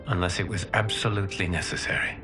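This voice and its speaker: A composed heroic leader from a video game, played by a man, talks in a lowish, steady, earnest voice. He sounds grounded and sure of himself. Music runs beneath the lines. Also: fast, natural voice. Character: calm male protector and leader of heroes. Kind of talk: video game cutscene acting. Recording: game audio with music bed.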